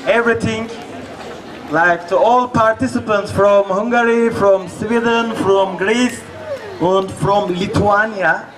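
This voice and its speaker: High-voiced guy giving thanks slowly, far off mic, loud music and crowd all around. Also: steady tone, heavy background noise. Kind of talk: thank-you speech.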